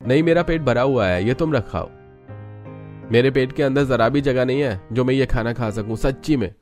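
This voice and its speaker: A man's voice: medium pitch, moderate pace, a little mellow, faint music behind, lightly acted. A man voicing a boastful, idle young husband in a cartoon story, brash, self-assured and dismissive.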